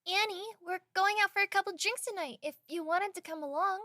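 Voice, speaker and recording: A woman's voice: very high-pitched, fairly quick, pushed and bright. An actress playing a sociable young woman, a casual and caring friend in an audio drama. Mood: friendly.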